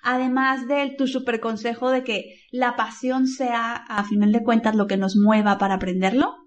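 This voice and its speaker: Higher-voiced woman interviewer, friendly. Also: flat delivery, moderate pace. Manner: friendly, agreeing. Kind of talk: interview conversation.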